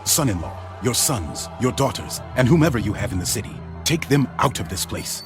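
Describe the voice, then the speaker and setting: A man's voice: mid-range voice, sing-song, normal speed, close to an everyday voice. Angel role for biblical audio theater, male actor: stern, commanding messenger, mid-range voice, weighty authority, scored with music. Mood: grave warning. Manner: grave, authoritative. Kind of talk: dramatized audio Bible acting.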